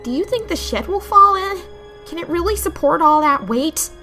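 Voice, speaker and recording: Actress as fed up outspoken woman in an audio drama, bright high voice, faint music under it. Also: lively intonation, moderate pace, exaggerated voice. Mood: exasperated, alarmed. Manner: pointed, challenging questioning. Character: exasperated, outspoken woman confronting her stubborn partner. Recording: music behind the voice.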